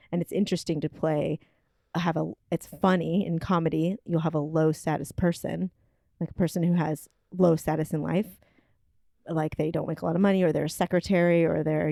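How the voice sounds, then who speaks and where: A woman with a low, gravelly voice muses through ideas in relaxed conversation. She pauses and fills gaps as she works out her thoughts. The sound is dry and close, with no room echo. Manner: thoughtful, searching, with many fillers. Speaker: podcast co-host. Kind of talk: casual chat. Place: home kitchen table.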